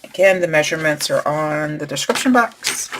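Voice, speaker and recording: A woman hosting a craft tutorial, losing her voice and clearing her throat as she talks through each step while working. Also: deep voice, expressive, moderate pace, background noise. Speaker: crafting channel host.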